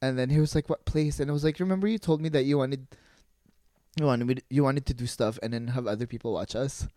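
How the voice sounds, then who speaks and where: A podcast co-host telling a personal anecdote in casual, gossipy slang with quoted dialogue, giggly and excitable. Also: mid-range voice, fast, dry recording, clean studio sound.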